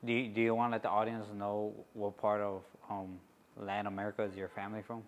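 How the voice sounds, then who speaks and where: A man interviewing a guest, asking measured questions with an occasional "um". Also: on the low side, little pitch movement. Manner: measured, with "um" between phrases.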